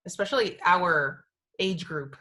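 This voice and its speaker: A woman's voice: lowish voice, a little slow, pushed and bright. A woman hosting a casual pop culture podcast, gushing and emphatic, with informal slang and phrases repeated for emphasis. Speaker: podcast host.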